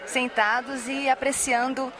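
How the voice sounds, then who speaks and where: A woman's voice: high voice, little pitch movement, measured pace. Brazilian woman amid restaurant chatter. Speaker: restaurant co-owner being interviewed. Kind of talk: promotional interview. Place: restaurant by open night street. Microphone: handheld interview microphone. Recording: clear restaurant crowd chatter.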